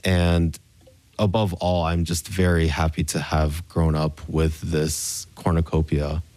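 A man from the southern United States giving thoughtful, measured and articulate interview answers as a musician. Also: very deep voice, level tone, a little mellow, no room sound. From Memphis, Tennessee, United States. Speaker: concert pianist being interviewed.

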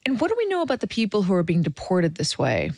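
Lively woman hosting, close and dry. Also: medium pitch, quick pace, no echo. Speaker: host asking questions. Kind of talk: conversational news podcast interviewing.

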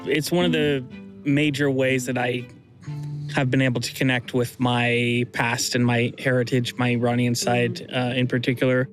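A man in his thirties with an American accent, casually reminiscing as an interview guest in a halting way. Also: mid-range voice, little pitch movement, music in the background. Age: 30s. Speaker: interview guest, soccer fan.

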